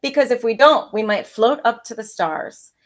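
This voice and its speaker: A woman's voice: on the high side, expressive, moderate pace, natural voice. A woman reading the part of a loving old grandmother in a storybook, tender and earnest. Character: loving old grandmother, gentle, devout and wise.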